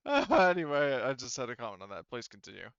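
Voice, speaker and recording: A man's voice: medium pitch, wildly swooping pitch, normal speed, vocal fry, a bit bright, no echo, highs cut off. A man bantering casually as a player in a tabletop roleplaying session.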